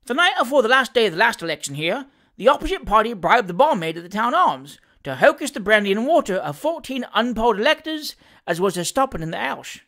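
Breezy Cockney servant for a storybook, played by a man, cheeky and emphatic, with lively swings in pitch. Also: quick pace, full character voice. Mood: breezy, amused. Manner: emphatic, colloquial.

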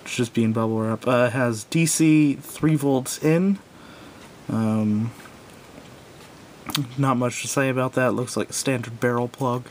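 A man's voice: steady tone, unhurried, darkish tone. Hesitant man reviewing a gadget, noisy recording. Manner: hesitant, rambling, self-correcting. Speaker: product reviewer. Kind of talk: casual unscripted hands-on gadget review. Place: indoors at a wooden table. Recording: noisy home recording with low rumble.

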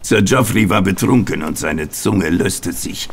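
A man's voice: deep voice, measured pace, a little hiss or hum. An actor playing a hot-tempered Italian man confessing a failed mission in an audio drama. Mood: bitter recounting. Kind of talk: German radio play acting.

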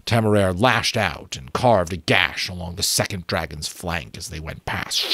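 A man's voice: low-pitched, fairly bright tone, no echo. A man in his thirties reading an adventure novel aloud expressively, with occasional small stumbles, into a close microphone. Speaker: audiobook reader. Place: small home room with bookshelves. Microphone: close desk microphone.

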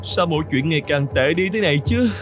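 A man plays a young cartoon camel with a raised, whining voice. He is excitable and sorry for himself, exclaiming aloud to himself. Music runs prominently behind him. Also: on the high side, fast talker, natural voice. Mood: miserable. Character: young male camel, lost, self-pitying, excitable. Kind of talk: animated fairy-tale dubbing.

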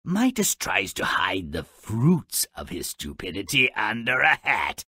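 A nasty little storybook imp narrating a game, gravelly and sharp-edged. His delivery lurches wildly up and down in pitch, slow and savored, full of sardonic glee and malice. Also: huge pitch swings, dry recording, studio quality, full character voice. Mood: sardonic storytelling. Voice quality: gravelly, raspy. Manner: sardonic, exaggerated, relishing malice. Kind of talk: theatrical scripted game narration.

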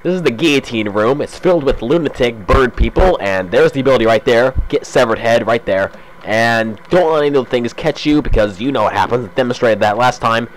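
A young man with a cold and a slightly raspy voice, chatting casually as he narrates a game walkthrough. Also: medium pitch, expressive, brisk, slight background noise, low-quality recording. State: has a cold. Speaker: let's play host. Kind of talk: casual gameplay commentary.

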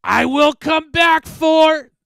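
Guy doing an evil demon specter in a scary story, loud and high, stretching out furious threats. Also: creaky, full character voice. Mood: vengeful rage. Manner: shouted, drawn-out threats. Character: menacing demonic male specter, gloating, enraged, vengeful.

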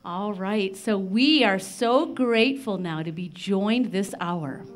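A middle-aged American woman from western Nebraska presenting a live children's radio show, speaking directly to listeners in simple, deliberate phrases. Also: medium pitch, music in the background. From western Nebraska, United States. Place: large library event room with seated audience. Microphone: microphone on a stand, close in front.

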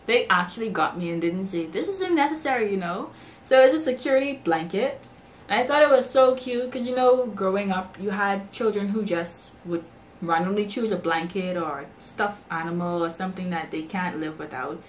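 A woman's voice: medium pitch, normal speed, slight background noise. A young woman from the Bahamas, chatting casually to camera in her bedroom as she shows off baby shower gifts. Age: young adult. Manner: chatty, lively, talks with her hands. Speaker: vlogger. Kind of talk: casual haul vlog. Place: bedroom, indoors.

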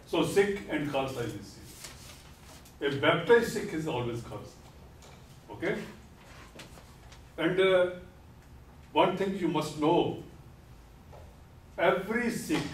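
Older man lecturing slowly in echoey room. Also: on the high side, lively intonation, a little hiss or hum. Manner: slow, deliberate, explanatory, repeats key terms; gestures while speaking at a podium. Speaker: lecturer on Sikhism. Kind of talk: classroom lecture. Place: classroom with whiteboard. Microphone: far camera. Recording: echoey classroom from far off.

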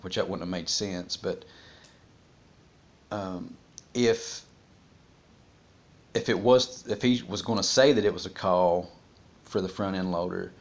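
Low-pitched, halting middle-aged man. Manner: halting, thinking aloud. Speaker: vlogger discussing a criminal case. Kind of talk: casual, unscripted monologue. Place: small room at home, indoors.

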